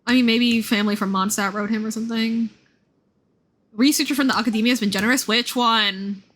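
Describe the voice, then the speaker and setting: Female game streamer, higher bright voice, loose off-the-cuff commentary, meandering and conversational. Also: fairly even intonation, a little fast. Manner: informal, meandering, thinking aloud. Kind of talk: casual livestream chat and game commentary. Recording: music playing behind the voice. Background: background music.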